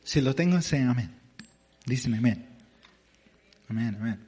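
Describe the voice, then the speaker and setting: Slow halting preacher in a big reverberant hall. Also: male voice, medium pitch, lively intonation, a little mellow, slightly rough. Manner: halting, searching for words in a second language. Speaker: pastor. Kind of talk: sermon.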